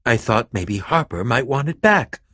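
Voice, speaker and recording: An actor playing an eccentric, excitable adventurer and potion maker in a video game, with fussy, theatrical delivery and dramatic emphasis. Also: male voice, mid-range voice, moderate pace, dry recording, clean studio sound. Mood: low, musing. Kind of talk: animated video game character acting.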